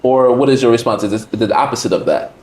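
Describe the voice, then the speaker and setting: An American man speaks as a podcast host in a conversational interview style. His voice sits at a medium pitch, and he acts out the remarks he retells. The room adds a noticeable echo. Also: quick pace, darkish tone. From United States. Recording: roomy echo.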